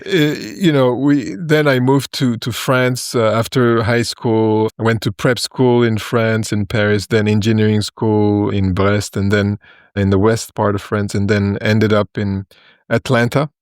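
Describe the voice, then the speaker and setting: Low flat guy from ethiopia, measured consultant talk, dry close sound. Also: clean tone, no echo. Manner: measured, explains in short phrases with fillers. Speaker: management consultant, guest. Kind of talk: podcast interview.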